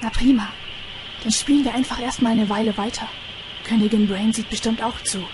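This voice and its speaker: A woman's voice: a little high, fairly even intonation, a little fast, natural voice. A woman playing a scheming, haughty villain king in an audio drama, theatrical and menacing. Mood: pleased. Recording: clear background noise.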